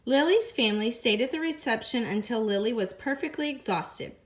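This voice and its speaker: A woman reads a children's picture book aloud to her class, her voice a touch higher than most. She tells it expressively, drawing out repeated phrases slowly and brightening for the little girl's spoken lines. Her tone is that of a classroom teacher sharing a story. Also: lively intonation. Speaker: teacher reading to students.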